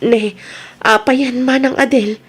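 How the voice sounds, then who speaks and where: A high-pitched female voice with an Ilocano accent, a woman acting it out plays a concerned younger relative in a radio drama. She nags and admonishes like someone anxious to be obeyed. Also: steady tone, takes it slowly, husky. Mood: worried, scolding. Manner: nagging, admonishing.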